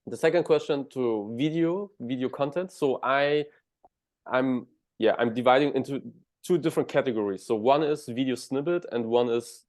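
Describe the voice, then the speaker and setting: A man's voice: mid-range voice, moderate pace, darkish tone. German-accented man explaining step by step. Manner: restarts words often, explains step by step. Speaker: guest. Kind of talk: explanatory interview talk.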